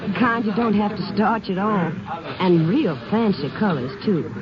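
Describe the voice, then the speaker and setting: A man's voice: high voice, expressive, normal speed, crowd noise. A man playing a rambling, obliging small-town laundry worker in a 1950s radio drama, with folksy rural speech. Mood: chatty, folksy. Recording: dull 1950s radio recording, noises behind.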